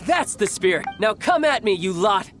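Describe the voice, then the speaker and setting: A man's voice: sits high, big pitch swings, normal speed. A man voicing a grandiose young military commander in a video game, with a pompous, theatrical delivery. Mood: commanding. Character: young male military commander, grandiose, bluffing as toy salesman. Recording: game music behind the voice.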